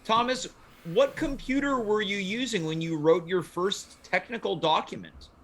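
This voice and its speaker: A man's voice: high voice, moderate pace, a bit bright. A man from Quebec hosting a casual panel chat, inquisitive and steering the conversation. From Quebec, Canada. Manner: inquisitive, moderating. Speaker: talk show host.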